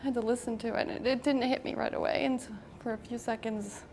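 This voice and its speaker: A woman's voice: fairly high pitch, steady tone, a little fast, darkish tone, slight background noise. A middle-aged woman as an interview guest, giving a personal account.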